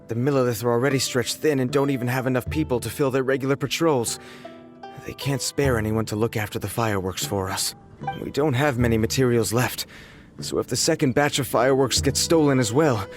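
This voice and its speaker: A man's voice: medium pitch, close to an everyday voice. An actor playing an anxious young male fireworks organizer, flustered and worried. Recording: game dub with faint background music.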